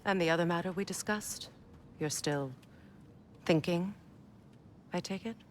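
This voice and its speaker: A woman plays a cautious game warrior, stern and protective. Her lowish voice is measured and earnest, with faint ambience behind it. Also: slight background noise, lightly acted. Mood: reserved. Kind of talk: video game cutscene acting.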